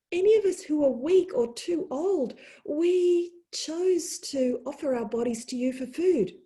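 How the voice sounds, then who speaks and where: A woman voicing a calm, wise female deer in a storybook tale, gentle and soft-spoken. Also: sits high, voice pushed up, full character voice.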